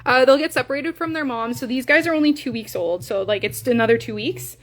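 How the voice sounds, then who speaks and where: A woman's voice: high voice, brisk, a bit bright. Chatty Canadian woman cooing at hamsters. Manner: chatty, full of fillers, coos at the babies. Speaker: hamster breeder streaming live. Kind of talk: casual live-stream chat.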